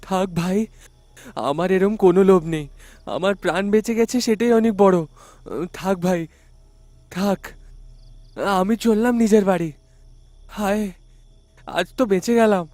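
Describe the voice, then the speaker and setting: Man acting a high-voiced, jumpy rickshaw driver. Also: unhurried, pauses often, darkish tone, dry recording. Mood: shaken, relieved. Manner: exclamatory, muttering to himself. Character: jumpy, superstitious male autorickshaw driver.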